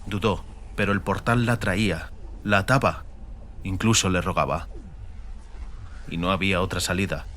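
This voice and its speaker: A man's voice: low voice, fairly bright tone, slight background noise. A man reading a scripted, dramatic fantasy audiobook narration.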